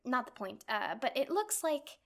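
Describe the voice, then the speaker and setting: Girl voice actor doing clingy yandere gf, kinda high voice, nervous n rambly, sweet but obsessed, funny asmr roleplay. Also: very animated, vocal fry, heavily acted. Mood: nervous, caring. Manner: flustered, halting, rambling, with nervous giggles. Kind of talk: girlfriend roleplay ASMR, comedic monologue.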